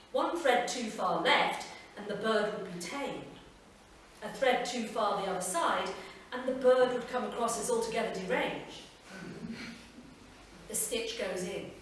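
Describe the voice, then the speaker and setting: A woman with a British accent giving a slow, measured literary reading at a live festival event. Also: mid-range voice, a little hiss or hum. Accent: British English. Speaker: reader. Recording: echoey live room from far off, faint ambience.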